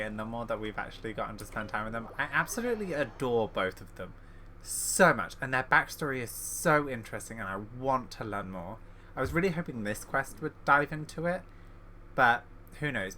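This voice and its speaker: A man's voice: medium pitch, sharp tone, slight background noise. A man giving casual reaction commentary as a streamer playing a game.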